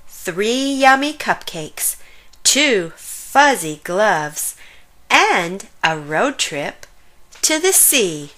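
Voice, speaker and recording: A woman reading a rhyming children's picture book aloud in an animated, sing-song way with drawn-out emphasis. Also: fairly high pitch. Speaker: children's story reader.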